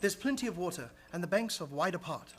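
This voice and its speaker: An actor playing a resolute vampire hunter in a radio play, earnestly declaiming journal entries. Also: male voice, high voice, lively intonation, fast.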